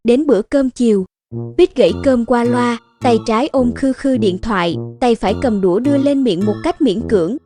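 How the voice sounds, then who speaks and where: A woman narrates a scripted children's cartoon story. Her voice is fairly high, and children's music plays clearly behind her. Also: moderate pace, warm tone.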